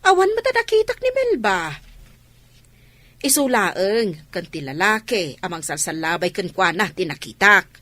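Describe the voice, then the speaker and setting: Husky, animated older village gossip. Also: female voice, medium pitch, a little slow, no room sound. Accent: Ilocano. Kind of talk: Ilocano radio drama acting.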